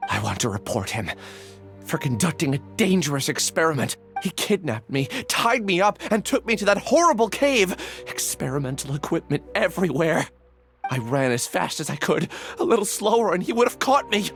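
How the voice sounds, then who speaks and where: A man's voice: on the high side, very animated, moderate pace, creaky, fairly bright tone, faint music behind, exaggerated voice. A man playing a weakened, desperate kidnapping survivor in a video game, strained and urgent. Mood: agitated. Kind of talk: dramatic video game acting.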